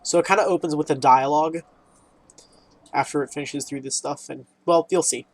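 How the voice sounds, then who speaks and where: A man's voice: on the high side, steady tone, a little fast. A man giving casual, rambling playthrough commentary on a video game, reading dialogue aloud with wry, informal asides. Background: game music.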